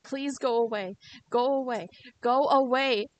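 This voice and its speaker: A woman voicing a frightened teenage boy who shouts forcefully and over and over. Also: mid-range voice, steady tone, measured pace, slight background noise, close to an everyday voice. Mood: panicked.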